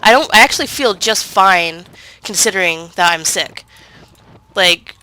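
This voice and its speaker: A woman's voice: medium pitch, animated, a little slow, sharp tone, clean tone, rough recording. A woman with a head cold, sounding congested, chatting casually through gameplay with lots of fillers and self-corrections. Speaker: gaming video creator.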